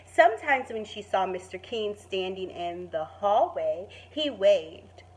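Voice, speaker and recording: A woman teacher reading a children's story expressively, stretching words for emphasis and pausing to put questions to the listeners. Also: medium pitch, animated. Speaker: primary school teacher reading to pupils. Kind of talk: expressive children's story read-aloud with asides.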